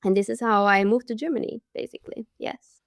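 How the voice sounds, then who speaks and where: A woman's voice: fairly high pitch, steady tone, brisk, gravelly, dry recording, studio quality. A young woman from the Czech Republic, a language coach, telling her story in a friendly, casual interview tone.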